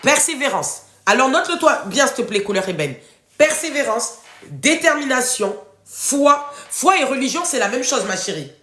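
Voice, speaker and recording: High-voiced congested woman coaching in an echoey room. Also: big pitch swings, normal speed, fairly bright tone. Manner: informal, affectionate address to listeners, encouraging. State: congested, runny nose from a cold. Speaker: live workshop host, life coach. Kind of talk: casual interactive coaching livestream, reading out participants' answers. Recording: roomy, echoing room sound.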